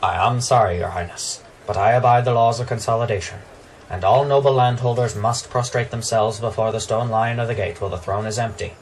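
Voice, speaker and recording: An actor playing a dutiful young knight gatekeeper in a fantasy radio drama, speaking in firm, formal proclamations. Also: male voice, on the low side, a little fast, recorded from a distance, slight background noise, lightly acted, rough recording. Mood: firm, respectful.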